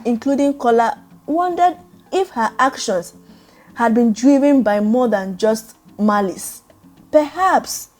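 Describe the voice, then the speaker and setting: A woman narrating a dramatic story in a measured, solemn way. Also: on the high side, darkish tone. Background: background music.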